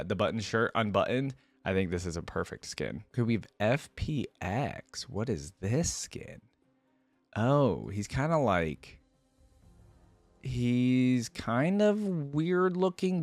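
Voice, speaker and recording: A man's voice: medium pitch, dry recording. A man giving casual, informal reaction commentary as a video host, thinking aloud as he goes.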